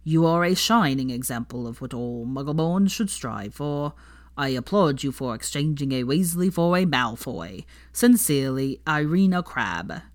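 A woman voicing a servile, spiteful old male house-elf who speaks deliberately in a storybook reading. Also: rumbling low, lively intonation. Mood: solemn reading aloud. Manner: speaks of himself in third person, deliberate.

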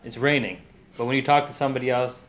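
A man's voice: medium pitch, fairly even intonation, quick pace, very noisy. A man hosting a casual conversation, chatty and full of "like" and "right?".

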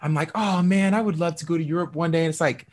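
A young man hosting an interview podcast, talking in an animated, upbeat way with many fillers. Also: a little high, fast. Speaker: podcast host. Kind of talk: conversational interview.

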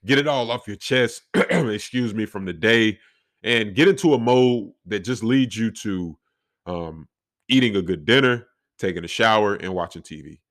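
A young man with a deep voice talking reflectively on his solo podcast, with faith woven into his talk. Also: expressive, normal speed, a bit bright. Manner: reflective, faith-filled, conversational. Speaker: podcast host.

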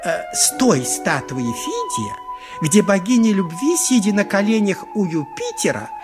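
An actor playing a pompous, lecherous high priest in a theatrical radio play, speaking in an oratorical, unctuous way. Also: male voice, sing-song, measured pace, over music. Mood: unctuous, delighted. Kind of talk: 1980s Soviet radio play acting.

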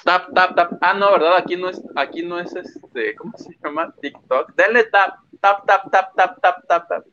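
A man's voice: high voice, a little slow, pushed and bright, slight background noise. A man with a Mexican accent co-hosting a gossip live stream in a jokey, playful, casual way, on slightly lo-fi audio. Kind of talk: casual joking chat.

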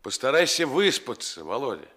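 A man's voice: medium pitch, very animated, moderate pace, fairly bright tone. An actor playing a seasoned older police detective in a radio play, homely, blunt and fatherly in manner. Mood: impatient, fatherly.

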